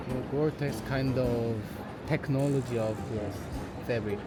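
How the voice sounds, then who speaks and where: A young Korean man with a Korean accent, chatting in English and narrating in Korean, gently teasing and laughing often. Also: mid-range voice, moderate pace, heavy background noise. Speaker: vlogger. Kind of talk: casual chat and voice-over narration.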